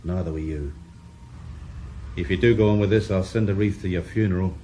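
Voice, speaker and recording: An actor playing a blunt, cynical middle-aged police inspector in a radio drama. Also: male voice, deep voice, brisk, warm tone, slight background noise, natural voice. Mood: hard. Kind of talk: 1980s radio drama acting.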